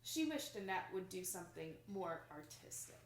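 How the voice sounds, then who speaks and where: A young woman reads a children's picture book aloud with expressive, dramatic emphasis, recorded in a small room indoors. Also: fairly low pitch, normal speed, a little hiss or hum. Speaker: storybook reader. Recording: roomy home recording.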